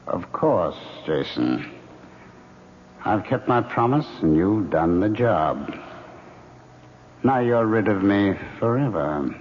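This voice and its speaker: A man's voice: lowish voice, animated, slow pace, pauses often. A man playing a ghostly, haunting figure in a 1970s radio drama. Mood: calm, final. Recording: muffled, faintly noisy radio tape.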